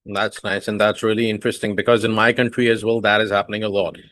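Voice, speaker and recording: A man's voice: fairly low pitch, little pitch movement, fast talker, a bit bright. A man whose first language is Urdu, hosting a podcast and asking long, rambling questions full of fillers. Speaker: podcast host. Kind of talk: podcast interview.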